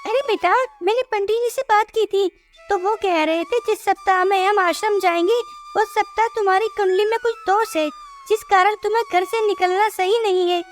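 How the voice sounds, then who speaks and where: A woman's voice: extremely high pitch, fairly even intonation, normal speed. A woman playing a caring, concerned older mother-in-law who admonishes her family in a scripted moral story cartoon. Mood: firm, coaxing. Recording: music behind the voice.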